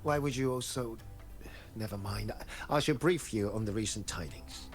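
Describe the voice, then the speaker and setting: A man's voice: lowish voice, moderate pace, lightly acted. An actor playing a polite, deferential male mechanist in a fantasy game, speaking in a formal manner. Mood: earnest. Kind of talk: scripted game voice acting. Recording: music under the voice.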